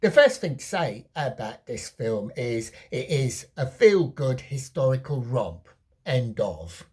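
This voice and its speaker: A woman giving a personal film review in a conversational, opinionated way, breaking her sentences into deliberate, emphatic phrases. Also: very deep voice, sing-song, a little mellow, husky. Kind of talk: personal movie review to camera.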